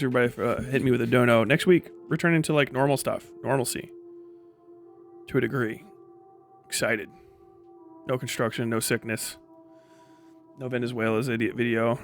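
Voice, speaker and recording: A man hosting a livestream, chatting casually and answering viewers in a blunt, heavily profane way. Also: mid-range voice. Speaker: livestream host and commentator.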